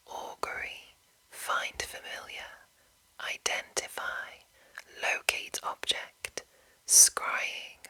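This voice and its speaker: A woman whispering in a breathy, unvoiced voice, softly and deliberately reading aloud as an ASMR host. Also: rough recording. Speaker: ASMR video host and narrator.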